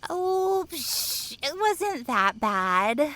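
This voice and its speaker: Woman playing a high-voiced bubbly college girl. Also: big pitch swings, sharp tone, exaggerated voice. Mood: playful, teasing. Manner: playful, teasing, drawn-out delivery. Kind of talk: audio drama acting.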